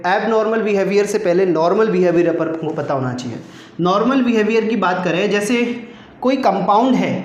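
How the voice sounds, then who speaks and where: High-voiced man teaching chemistry. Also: echoey room. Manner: explains step by step, mixing Hindi and English, asks and answers his own questions. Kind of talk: online classroom lecture.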